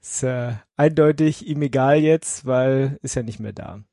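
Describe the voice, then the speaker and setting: A man's voice: medium pitch, unhurried, dark tone, no echo. Male podcast co-host from Berlin, casual and teasing, mid-range voice, very dry recording. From Berlin, Germany. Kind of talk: casual chat.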